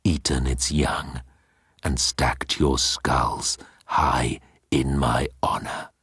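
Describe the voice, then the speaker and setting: Ancient monstrous deity, very deep, breathy growl, slow, pompous, menacing, audiobook villain. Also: male voice, little pitch movement, a bit bright, no room sound, clean studio sound, full character voice. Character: ancient monster god Cthulhu, grandiose and menacing.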